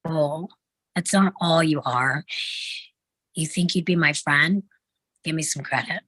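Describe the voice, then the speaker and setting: Woman as a husky, low, blunt sitcom sister. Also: a little slow, a bit bright, no room sound, heavily acted, rough recording. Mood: firm, encouraging. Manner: sarcastic. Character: blunt, exasperated young working woman, bossy but caring sister. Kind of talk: sitcom script table read.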